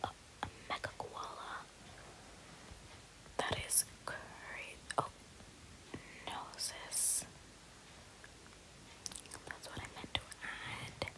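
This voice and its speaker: A woman's voice: drawn-out words, long pauses, rough recording. A young woman whispering rambling ASMR commentary over gameplay, often repeating words and phrases. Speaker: gaming video creator.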